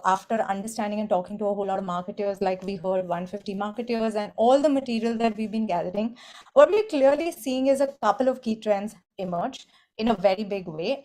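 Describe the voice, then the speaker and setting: A woman's voice: mid-range voice, a little mellow. Articulate woman on a panel. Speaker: panelist, industry executive. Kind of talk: webinar panel discussion.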